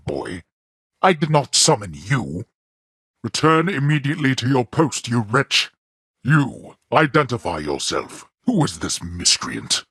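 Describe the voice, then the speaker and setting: A man's voice: a bit bright, dry recording, heavily acted. An actor playing a stern, proud god of the dead who scorns his son, speaking with cold, imperious contempt in video game voice lines. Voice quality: deep, raspy, gravelly. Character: stern proud male god of the dead, a harsh disapproving father. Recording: dry close studio recording.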